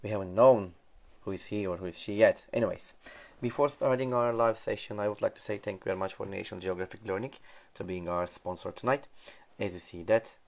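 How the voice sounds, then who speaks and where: Turkish-accented man hosting, gravelly voice. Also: fairly low pitch, a little mellow. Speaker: host of an online teacher talk series. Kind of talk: casual hosted live interview. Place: online video call live stream. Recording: dull, lo-fi live-stream audio.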